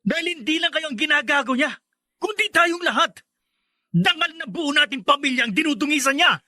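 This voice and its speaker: A man's voice: extremely high pitch, animated, a little fast, bright, forceful tone, no room sound, exaggerated voice. An actor playing an angry, defiant young man confronting his family in a radio drama. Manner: indignant, accusing.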